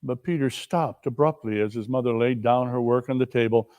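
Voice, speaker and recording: A man giving a dramatic reading of a classic story, with deliberate, solemn, theatrical phrasing. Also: medium pitch, a little mellow. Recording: reverberant hall.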